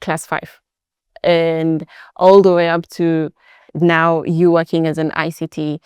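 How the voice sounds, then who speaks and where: A woman's voice: low voice, little pitch movement, takes it slowly, a little mellow, smooth, no room sound. A woman hosting an interview, introducing each guest and asking about their journey with affirming comments. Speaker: host, interviewer. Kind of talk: interview questions.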